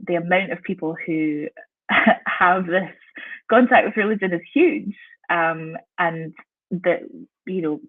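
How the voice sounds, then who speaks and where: A woman's voice: fairly low pitch, husky. A woman with a Scottish accent, a guest lecturer giving a friendly, upbeat talk over a video call. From Scotland. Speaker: guest speaker, theology lecturer. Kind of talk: casual presentation on a video call. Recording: tinny call audio.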